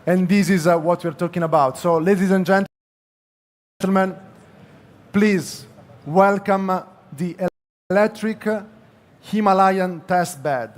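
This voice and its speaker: Halting Italian-accented male presenter, slightly high voice. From Italy. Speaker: company executive presenting at a press conference. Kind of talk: product unveiling speech.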